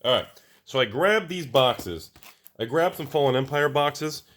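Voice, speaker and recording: An American man with a slightly raspy voice, a little under the weather, talking casually and ramblingly to viewers as he reviews products. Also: mid-range voice, animated, measured pace, fairly bright tone. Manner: informal, rambling, addresses viewers directly. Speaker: collectibles channel host.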